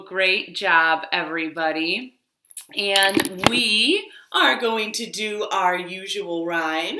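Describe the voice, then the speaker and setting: A woman's voice: medium pitch, bright, forceful tone. A woman hosting a children's storytime in a sing-song style, drawing out repeated words and giving simple, encouraging instructions, recorded in a living room. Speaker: children's storytime host, librarian. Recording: roomy, echoing home living room.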